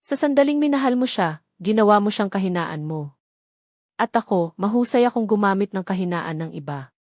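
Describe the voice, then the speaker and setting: A woman's voice: mid-range voice, lively intonation, fairly quick, dry recording, natural voice. Lady reading as a cold rich stepmom villain, sugary and threatening, storybook drama, dry close sound. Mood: smug, menacing. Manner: soft, sweet but menacing. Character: cold, venomous, sweet-talking wealthy stepmother.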